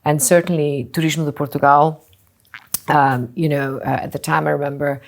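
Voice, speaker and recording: A middle-aged woman from Singapore, a company founder answering interview questions thoughtfully, often pausing with "you know" and restarting phrases. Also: low-pitched, little pitch movement, vocal fry. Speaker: hotel group co-founder and CEO.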